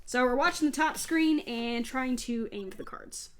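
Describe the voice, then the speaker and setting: A woman's voice: high voice, moderate pace. A woman hosting a let's play, giving casual gameplay commentary in a chatty, self-deprecating way. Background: video game music.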